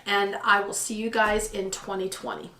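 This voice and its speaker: Hoarse, chatty woman in an echoey room. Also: medium pitch, moderate pace. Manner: chatty, excitable, rambling. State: hoarse from illness, throat clearing. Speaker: photography vlogger. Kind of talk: casual vlog talk to camera. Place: living room at home by a patio door.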